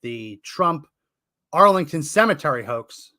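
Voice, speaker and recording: Male podcast host, brisk and upbeat; bright, crisp voice with a dry, close sound. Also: fairly high pitch, lively intonation, no echo. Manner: brisk and upbeat, announces topics. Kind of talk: casual podcast chat.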